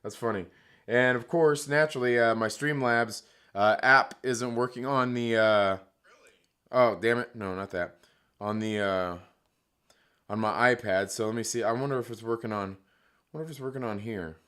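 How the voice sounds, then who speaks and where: A man hosting a live stream, talking casually and profanely while his voice is hoarse, joking and reading chat aloud. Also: medium pitch, moderate pace, a bit bright.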